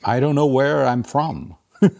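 A man from South America and Europe talks as a guest in a casual interview. His voice is gravelly with vocal fry at an average male pitch, and he tells things in a hesitant, self-deprecating way. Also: animated, measured pace, mellow. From grew up across South America and Europe, born in Brazil. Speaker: interview guest, head of quantitative investing. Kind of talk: casual conversational storytelling.

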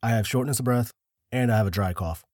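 A man's voice: mid-range voice, fast, darkish tone, dry recording, clean studio sound. A man co-hosting a podcast in a laid-back, joking way, telling a story casually. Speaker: podcast co-host.

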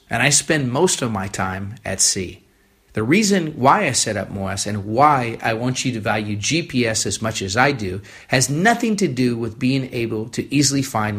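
A man's voice: mid-range voice, creaky, fairly bright tone, husky. An American man delivering a scripted first-person radio essay. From United States. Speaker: humanitarian organisation co-founder.

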